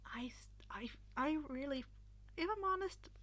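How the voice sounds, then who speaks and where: A woman reading fiction aloud with expressive, dramatic narration and a casual, informal touch. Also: high-pitched, a little slow. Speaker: podfic reader.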